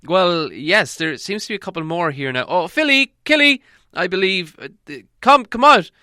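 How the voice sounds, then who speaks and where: A man's voice: high-pitched, expressive, moderate pace, sharp tone, smooth, no room sound, clean studio sound, pitched above the performer's own voice. A man voicing an old wizard in a storybook, apologetic and crafty, rambling along with hesitant stammers. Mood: sheepish.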